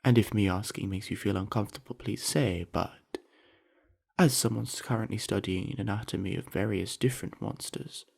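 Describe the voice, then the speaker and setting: Man voicing a gravelly, friendly young orc jock. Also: on the low side, darkish tone. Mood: curious. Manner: easygoing, chatty, earnest, with musing pauses. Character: friendly, earnest, sweaty young male orc university jock. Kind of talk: scripted ASMR roleplay addressed to the listener.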